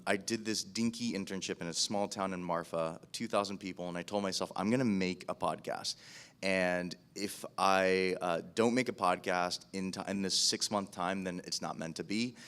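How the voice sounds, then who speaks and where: A man's voice: deep voice, a bit bright. An American man around his thirties, a podcast producer telling his career story in an informal, self-deprecating way at a panel.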